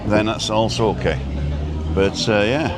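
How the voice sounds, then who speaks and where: A man's voice: lowish voice, moderate pace. Older english guy rambling on a live stream, uh's everywhere, crowd noise behind. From England. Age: 60s. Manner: chatty, rambling, with frequent uh fillers. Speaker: host of a live walking stream. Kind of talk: casual live-stream chat. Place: outdoors on a busy street.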